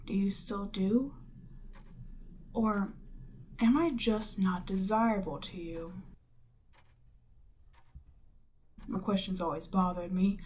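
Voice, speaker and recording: A woman voicing a proud, seductive demon queen in a scripted ASMR roleplay, haughty and measured, lapsing into breathy near-whispers. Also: medium pitch, darkish tone, slight background noise, close to an everyday voice. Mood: hesitant, curious.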